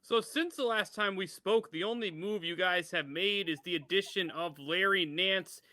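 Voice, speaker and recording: A man's voice: high voice, moderate pace, pushed and bright, dry recording. A man hosting a sports interview, putting questions directly to his guest in a presenter's manner. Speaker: sports show host, interviewer.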